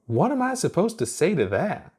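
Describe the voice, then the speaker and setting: A man's voice: high-pitched, huge pitch swings, moderate pace, darkish tone, husky, pitched above the performer's own voice, full character voice. A man voicing a defensive, easily flustered young monster girl for a story. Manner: indignant, easily flustered, stammering.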